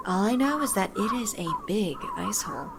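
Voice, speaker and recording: A woman's voice: a little high, huge pitch swings, normal speed, a little hiss or hum. A woman acting as a coy, flirtatious friend of an ice fisherman in a comic audio drama, with suggestive delivery.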